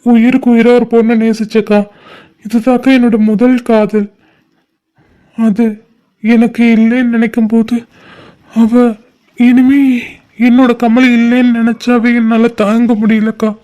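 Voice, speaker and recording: A man's voice: very high voice, flat delivery, unhurried, pauses often, dark tone. A young man playing a heartbroken lover, speaking with a Tamil accent, pleading and crying. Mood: tearful. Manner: pleading, tearful and despairing. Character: lovesick young man, grieving and desperate. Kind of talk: short-film drama acting.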